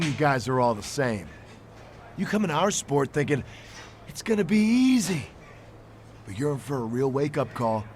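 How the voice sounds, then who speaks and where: A man's voice: mid-range voice, slight background noise, natural voice. A man playing an arrogant star wrestler in a video game cutscene, condescending and scornful. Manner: cocky, condescending.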